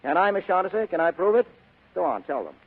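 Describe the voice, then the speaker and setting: Old narrow-band radio recording, hard-boiled American detective, brusque, sardonic, rapid, swinging pitch. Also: male voice, sing-song, breathy edge, heavily acted. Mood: grimly resolute. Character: hard-boiled, cynical male private detective. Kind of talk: 1940s radio drama acting.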